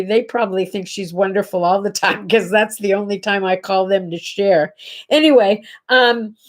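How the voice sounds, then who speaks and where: A middle-aged woman with a congested, nasal voice, hosting a casual morning livestream chat from her home and chatting directly to viewers. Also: mid-range voice. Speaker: host. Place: home bedroom.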